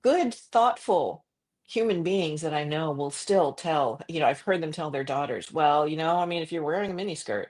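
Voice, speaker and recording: A middle-aged woman from Croatia, a journalist guest talking passionately in an interview, with frequent restarts and quick laughs. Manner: animated, impassioned, jumps to high pitch for quoted voices. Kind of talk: conversational interview.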